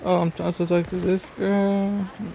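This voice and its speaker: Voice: medium pitch, little pitch movement, moderate pace, gravelly, dark tone, dull audio, a little hiss or hum. A gamer muttering casual, sweary commentary while playing, with game music behind. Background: game music and sounds.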